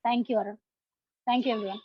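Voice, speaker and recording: A woman with an Indian accent giving a formal, halting presentation over a muffled video call. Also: a little high, steady tone, slightly rough, no echo. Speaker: youth parliament prime minister, presenter. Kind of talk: prepared presentation.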